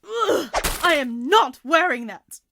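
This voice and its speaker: A woman's voice: sits high, very animated, takes it slowly, a bit bright, slight background noise, exaggerated voice. A woman acting a haughty, defiant dance-hall performer in a fan comic dub, indignant and theatrical. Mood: disdainful.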